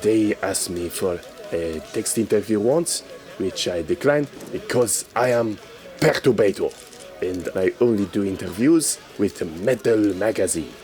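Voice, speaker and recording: A man playing a clueless, self-important European scene celebrity, hesitant and deadpan, in a comedy sketch. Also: lowish voice, unhurried, faint music behind. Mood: aloof.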